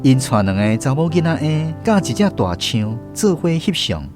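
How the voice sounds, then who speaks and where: A man with a Taiwanese accent narrating a scripted children's radio story in an expressive storytelling manner. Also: on the high side, normal speed, music in the background.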